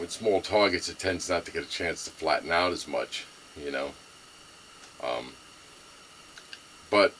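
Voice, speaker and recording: Deep-voiced Brooklyn man rambling. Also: pushed and bright, slight background noise, poor audio. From New York. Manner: rambling, many fillers and restarts. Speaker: gun owner reviewing his rifle. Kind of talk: casual rambling show-and-tell.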